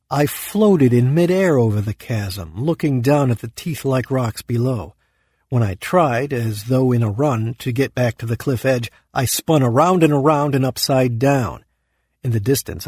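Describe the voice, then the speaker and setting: A man's voice: mid-range voice, darkish tone, breathy edge, dry recording. An actor playing a scheming, anxious underling who tells his own story in a first-person audio drama. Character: scheming damned man, anxious, self-pitying, ambitious underling.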